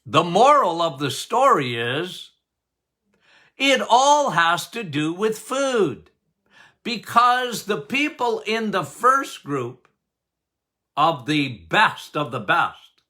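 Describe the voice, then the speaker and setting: An older man hosting a health podcast as a doctor, talking loudly and passionately, with frequent laughs. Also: high-pitched, very animated, takes it slowly. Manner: loud, emphatic, passionate, laughs often. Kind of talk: casual health podcast talk.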